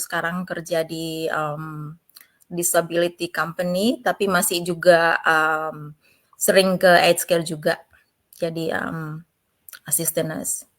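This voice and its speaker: A woman from East Kalimantan, a guest introducing herself in an unhurried way with fillers. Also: fairly low pitch. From East Kalimantan, Indonesia.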